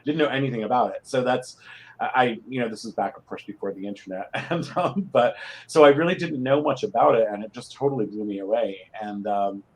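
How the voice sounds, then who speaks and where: Middle-aged man with medium pitch, rambling casually. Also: brisk, poor audio. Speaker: guest, playwright. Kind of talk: casual conversational recollection.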